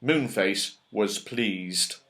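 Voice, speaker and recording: A man narrating a children's story aloud in an expressive, dramatic way. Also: on the low side, very slow. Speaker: audiobook reader.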